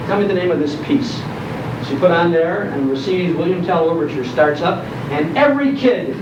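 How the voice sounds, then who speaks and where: Older male speaker, American accent, nostalgic stage storyteller with deliberate dramatic emphasis; echoey room, clearly noisy live recording. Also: on the high side, animated. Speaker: guest speaker, radio nostalgia host. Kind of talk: live talk telling nostalgic stories to an audience. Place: indoor program venue before an audience. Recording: echoey, noisy, muffled live hall recording, distant microphone.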